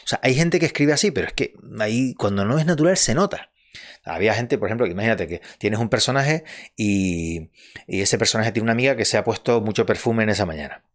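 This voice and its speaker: A man's voice: fairly low pitch, expressive, fast talker. A man, a novelist giving casual advice on writing, talking in a rambling way with restarts and turning emphatic on key points. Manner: conversational, rambling with restarts and fillers; emphatic and earnest when stressing a point.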